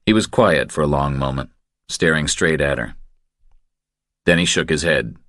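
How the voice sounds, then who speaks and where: A man narrating a thriller audiobook in a measured, terse, deadpan way. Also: rumbling low, dry recording, clean studio sound.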